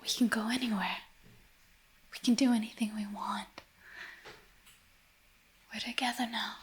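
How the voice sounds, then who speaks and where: A woman playing a scheming, seductive femme fatale in an ASMR noir radio drama, speaking in a soft, breathy, coaxing whisper. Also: on the high side, fairly even intonation, natural voice. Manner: slow, intimate, coaxing.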